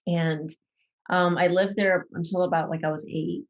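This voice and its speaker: Low-voiced woman telling her story haltingly. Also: fairly even intonation, highs cut off. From Ecuador. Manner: hesitant, many fillers and restarts. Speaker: podcast guest. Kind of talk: personal storytelling.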